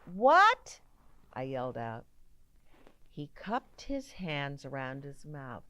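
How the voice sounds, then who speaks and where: A woman reading a children's story aloud expressively, with deliberate dramatic pauses and emphasis on single words. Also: a bass voice, big pitch swings, rough recording.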